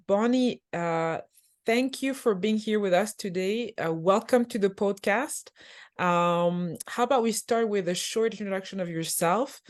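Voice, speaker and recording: A woman hosting a career podcast, speaking with a hesitant delivery full of filler sounds. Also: mid-range voice. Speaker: podcast host, career coach. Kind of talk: podcast interview.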